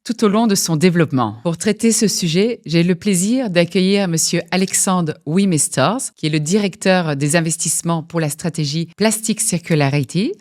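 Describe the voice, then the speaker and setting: A woman's voice: on the low side, moderate pace, hardly any pauses, a little mellow. Polite, formal woman interviewer. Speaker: podcast host.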